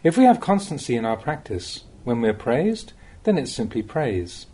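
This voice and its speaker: A man reads a Dhamma talk aloud in a dark, mellow tone with some huskiness. His phrasing is measured, yet his intonation moves widely and expressively, giving the teaching the feel of a thoughtful spoken audiobook. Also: mid-range voice, big pitch swings. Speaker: monk reading Buddhist teachings.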